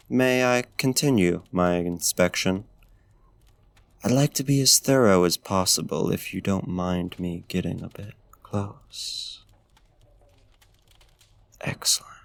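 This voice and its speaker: Courtly vampire gentleman, played by a male actor for ASMR roleplay: low, gravelly, genteel and smitten, speaking softly and formally up close. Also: no echo. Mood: courteous, concerned. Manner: formal, old-fashioned, genteel diction.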